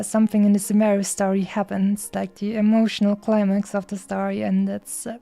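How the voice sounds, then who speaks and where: A woman's voice: medium pitch, dry recording. A woman game streamer giving casual, enthusiastic commentary in a rambling, hesitant way. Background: soft game music.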